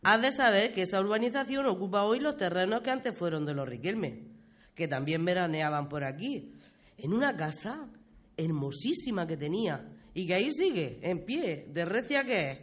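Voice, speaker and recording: Woman as a chatty, animated storytelling grandmother. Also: lowish voice, normal speed. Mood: fond, reminiscing. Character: chatty grandmother telling local history to youngster. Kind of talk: scripted radio play.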